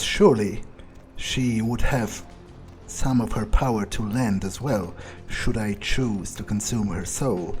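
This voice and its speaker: A man voicing a power-hungry, menacing vampire in a grandiose, brooding in-character monologue for a game playthrough, with trailing pauses. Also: on the low side, darkish tone, close to an everyday voice. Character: power-hungry, menacing male vampire, musing coldly on revenge.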